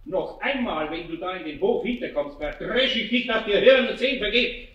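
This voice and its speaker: Grumbly older bavarian workshop master, kinda high forceful voice, kids' radio drama. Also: male voice, lively intonation, a little fast, husky, highs cut off, full character voice. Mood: angry. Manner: grumbling, colloquial. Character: grumpy but good-natured older male master craftsman. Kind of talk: children's radio play acting.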